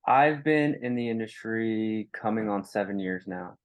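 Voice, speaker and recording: Guy in late 20s, lowish voice, chill short replies, dry mic sound. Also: a little slow, no echo. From Kansas, USA. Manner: brief, easygoing replies.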